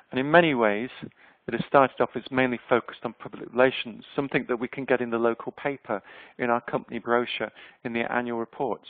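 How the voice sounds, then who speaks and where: A man's voice: mid-range voice, no room sound. Yorkshire man with a tinny webinar sound. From Yorkshire, England. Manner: conversational, with frequent ums and uhs. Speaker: webinar presenter. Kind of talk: online presentation. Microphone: webinar microphone. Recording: dry, tinny phone-like webinar audio.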